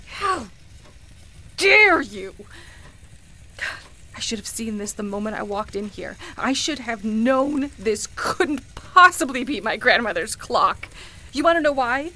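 An actress playing a grieving, skeptical woman in a radio play, emotional and halting, easily shaken as she speaks. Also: sits high, pushed and bright, full character voice. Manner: halting, stammering, dramatic. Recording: music behind the voice.

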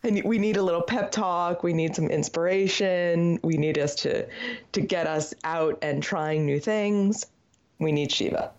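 A woman's voice: fairly low pitch, unhurried, a little mellow. A woman with a Midwestern accent co-hosting a podcast, chatting and asking her co-host questions. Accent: American Midwestern. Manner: conversational, asks questions, repeats for emphasis. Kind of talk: casual chat. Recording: dull lo-fi sound.

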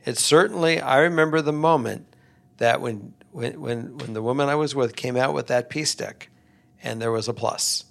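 A man's voice: mid-range voice, normal speed, creaky, a bit bright. A man co-hosting an advice podcast, talking casually and bluntly, often repeating words as he starts a thought.

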